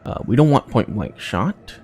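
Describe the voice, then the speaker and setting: A man's voice: medium pitch, sing-song, a little slow, a little mellow, husky. A man giving casual playthrough commentary on a game, muttering to himself as he decides. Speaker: gaming video host. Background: game music.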